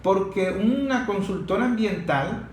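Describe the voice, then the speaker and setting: A man's voice: high-pitched, lively intonation, a little slow. A Venezuelan man hosting an interview, putting questions in a conversational but opinionated and insistent way. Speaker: interviewer, host. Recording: echoey room with faint noise.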